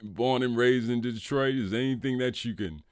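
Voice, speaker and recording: A middle-aged man hosting a casual interview podcast, talking in an animated, effusive way with plenty of slang. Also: medium pitch, moderate pace, no echo, clean studio sound.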